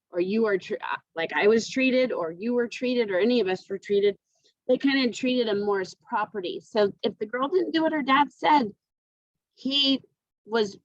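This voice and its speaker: Female teacher, fairly high voice, conversational explainer speaking straight to students; dry, slightly muffled computer-mic sound. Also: dry recording. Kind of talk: lesson explanation to students, informal.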